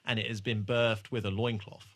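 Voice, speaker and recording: A man's voice: lowish voice, level tone, normal speed, a little hiss or hum. A man hosting a casual rewatch podcast, chatting in a sweary, jokey way. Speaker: podcast host. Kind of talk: casual, banter-filled TV rewatch chat.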